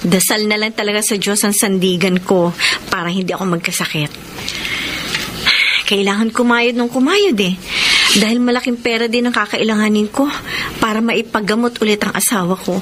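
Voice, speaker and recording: A woman's voice: medium pitch, steady tone, moderate pace, a little hiss or hum, natural voice. A woman with a Filipino accent playing a hardworking, grateful wife of a sick husband in a radio drama. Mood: weary, grateful. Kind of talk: Filipino radio drama acting and first-person narration.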